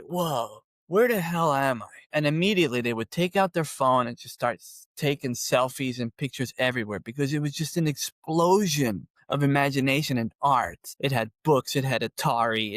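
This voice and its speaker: A man's voice: mid-range voice, a bit bright, dry recording. A man, telling rambling stories as a podcast guest and often saying "you know.". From Philadelphia, USA. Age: 50s. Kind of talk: casual conversational storytelling.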